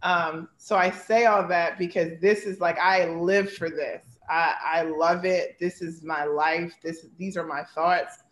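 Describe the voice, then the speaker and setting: A woman's voice: on the low side, steady tone, unhurried, few pauses, roomy sound. A woman in her thirties, a basketball coach, giving earnest, heartfelt interview answers full of "like" and "you know". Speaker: basketball head coach, guest.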